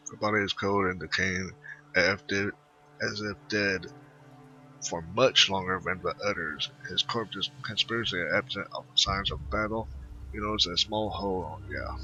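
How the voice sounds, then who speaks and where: A man with a very low voice narrates his own playthrough casually, reading lines aloud as he goes. Game music plays clearly behind him. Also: fairly even intonation, moderate pace, pushed and bright, muffled recording. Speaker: gaming video creator. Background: video game music.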